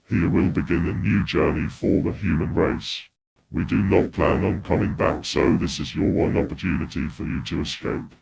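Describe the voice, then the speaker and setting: A man with a gravelly voice reading a scripted, grave broadcast message, with faint noise in the recording. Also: deep voice.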